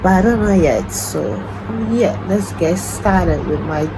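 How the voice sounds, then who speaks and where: A woman in her twenties chatting casually in a home vlog, rambling and self-deprecating, her voice groggy and deep from the morning. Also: takes it slowly, over music, poor audio. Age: 20s. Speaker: vlogger. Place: at home, indoors. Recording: noticeable background noise. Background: noise.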